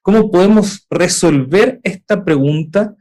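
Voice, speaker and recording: A man's voice: high voice, a little slow, darkish tone. A young man with a Chilean accent presenting in a webinar, explaining at length with frequent "eh" fillers and rhetorical questions. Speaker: edtech founder presenting.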